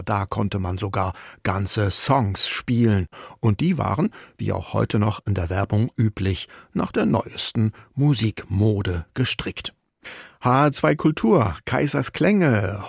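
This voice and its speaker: A man hosting a radio feature, narrating a script in a calm, explanatory, conversational way into a studio microphone. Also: medium pitch, creaky, husky, dry recording. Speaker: radio host.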